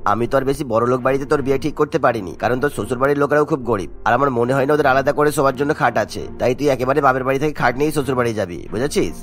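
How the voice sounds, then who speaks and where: A man's voice: mid-range voice, rapid-fire, hardly any pauses, soft background music, close to an everyday voice. A man voicing an earnest, caring middle-aged father of a bride in a cartoon, explaining himself at length. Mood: fond, reassuring. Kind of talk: Bengali cartoon acting.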